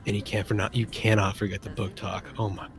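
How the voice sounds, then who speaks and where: A man's voice: lowish voice, level tone, fast, gravelly, faint music behind. Rambling, enthusiastic man in casual chat. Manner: rambling, enthusiastic, trails off and restarts often.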